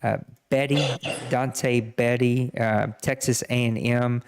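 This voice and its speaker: Guy running a live draft stream, rattles off player stats, jokes at himself, mid pitch. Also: fairly even intonation, slow pace, gravelly, smooth. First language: Cajun French. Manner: reads player stats, self-deprecating jokes. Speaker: podcast host running a draft. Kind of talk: casual live-stream commentary.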